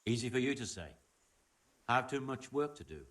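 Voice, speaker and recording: A man's voice: medium pitch, expressive, brisk, fairly bright tone, distant mic, lightly acted. An actor playing a wary, grumbling middle-aged medieval tradesman in a video game, speaking in a guarded way. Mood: uneasy, suspicious. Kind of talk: video game dialogue acting.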